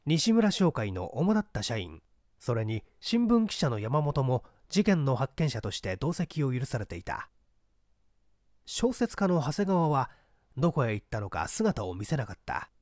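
A man reading literary mystery fiction aloud in a measured, theatrical storytelling manner. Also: medium pitch, big pitch swings, darkish tone, no echo, studio quality. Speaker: audiobook reader and small-theatre actor. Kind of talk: dramatized literary mystery narration.

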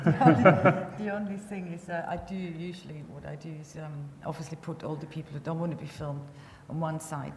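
A woman's voice: deep voice, creaky. A woman filmmaker on a panel, answering hesitantly with lots of fillers, thinking aloud. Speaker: documentary filmmaker on a festival panel. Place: large hall at a panel table. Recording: reverberant hall, faint noise.

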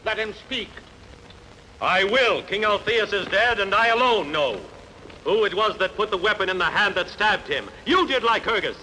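A man's voice: high voice, animated, normal speed, bright, forceful tone, exaggerated voice. An actor dubbing a bold warrior hero in a sword-and-sandal film, declaiming earnestly as he demands justice. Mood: defiant, commanding. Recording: noisy dubbed film soundtrack.

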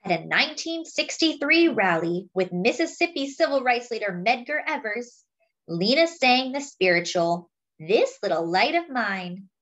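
Lady doing a picture book read-aloud, kinda high voice, super animated and expressive. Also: measured pace, poor audio. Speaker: storybook reader.

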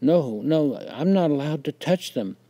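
A man's voice: on the high side, lively intonation, measured pace, mellow, no echo, voice pushed up. A man voicing an anxious, earnest small boy who whines, stammers and pleads in a storybook tale. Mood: hesitant.

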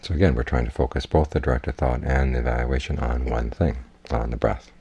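A man with a husky voice giving a guided meditation talk as a Buddhist teacher, in measured, plainspoken instruction. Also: extremely low pitch, steady tone, mellow.

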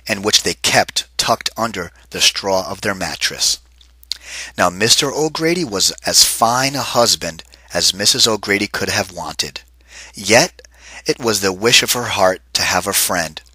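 A man reading a children's picture book aloud, expressive and lively, pausing to ask kids questions. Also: on the low side, slightly rough, no room sound. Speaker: read-aloud teacher.

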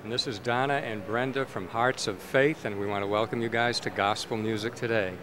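An older man hosting a gospel music show, asking questions in a halting way with many fillers, on a handheld mic at a convention. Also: on the low side, steady tone. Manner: hesitant, with repeated filler words. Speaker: host. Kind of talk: interviewer. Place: convention hall interview booth. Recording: noisy convention hall.

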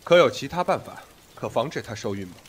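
A man's voice: mid-range voice, lively intonation, quick pace, slight background noise, lightly acted. Man playing an imperious ancient sect master. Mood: cool, probing. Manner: imperious, formal. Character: proud, commanding male sect master. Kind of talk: period audio drama acting.

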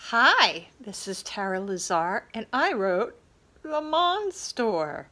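Middle-aged lady, bright high voice, super slow and playful, selfie phone video. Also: very animated. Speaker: children's author. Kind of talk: self-recorded trivia question to camera. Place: indoors by bookshelves. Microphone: phone at arm's length.

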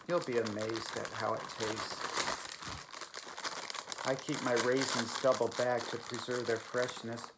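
A man in drag hosting a spoof cooking show in a deadpan, mock-sincere gushing manner, seated at a table in a small room. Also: mid-range voice, noisy background. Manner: mock-sincere, gushing over cheap goods. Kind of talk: deadpan parody cooking demo.

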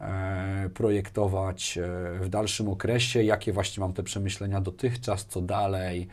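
A man's voice: sits low, steady tone, measured pace, a little mellow. A man in his forties speaking casually as an interview guest, often repeating phrases as he thinks aloud. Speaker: interview guest, business executive. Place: small furnished studio room with bookshelves, indoors. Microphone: lapel mic.

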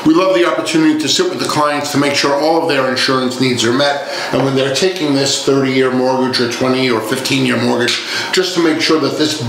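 A man's voice: mid-range voice, fairly even intonation, fairly quick, a bit bright. Middle aged ny insurance guy, polite sales talk, really echoey room, bit of noise. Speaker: guest, insurance agent. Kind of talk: conversational sales explanation. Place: small meeting room, indoors.

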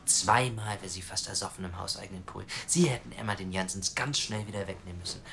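Brash, cynical reporter, radio drama; rapid delivery, crude and insistent, faint noise behind. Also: male voice, deep voice, vocal fry, a bit bright. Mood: angry, accusing. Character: brash, cynical, pushy male reporter pressing for answers. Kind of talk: naturalistic German radio drama acting.

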